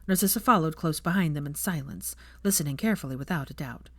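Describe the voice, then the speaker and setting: A woman's voice: sits low, expressive, quick pace, no room sound. A woman narrating a story from a script as an audiobook-style reader of fan fiction.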